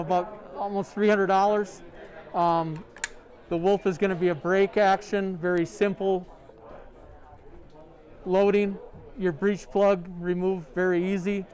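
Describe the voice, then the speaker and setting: A middle-aged man, a sales rep, showing products in a large store, talking in a halting way full of ums and uhs. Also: high voice, little pitch movement, darkish tone. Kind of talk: product demo. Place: large sporting goods store, indoors.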